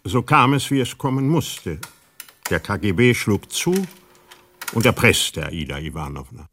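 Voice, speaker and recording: An actor playing a world-weary former embassy security chief, narrating a radio drama in a measured, wry manner. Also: male voice, on the low side, animated, a bit bright, slight background noise. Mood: grave recounting.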